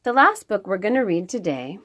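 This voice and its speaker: Woman reading storybooks aloud with very lively intonation. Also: medium pitch. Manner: expressive, with dramatic emphasis and pauses. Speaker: children's read-aloud host. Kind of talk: expressive storybook read-aloud for young children.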